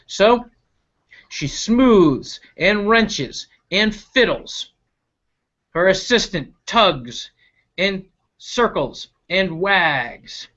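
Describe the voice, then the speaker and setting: Children's read-aloud narrator, mid-pitched and highly expressive, unhurried delivery, dull recording. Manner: animated, deliberate, stretching key action words, with asides to listeners. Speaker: reader reading a picture book to children.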